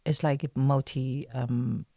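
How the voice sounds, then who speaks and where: A woman's voice: rumbling low, fairly even intonation, slow pace, vocal fry, mellow, no echo, clean studio sound. A woman from China speaking as an interview guest, an actress answering casually in hesitant, thoughtful phrases.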